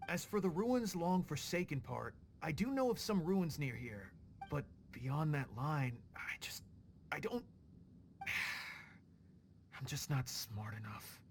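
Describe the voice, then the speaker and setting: An actor playing an eager, deferential rogue in a video game who wants to go straight, earnest, excitable and a little hesitant. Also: male voice, fairly high pitch, slow, a little hiss or hum. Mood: earnest, unsure.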